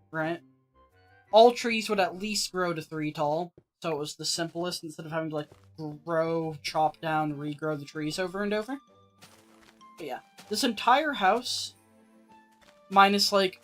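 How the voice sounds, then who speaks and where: A streamer chatting casually through a let's-play, rambling with lots of filler words and getting a little raspy. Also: medium pitch, a bit bright. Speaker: streamer playing an old game version.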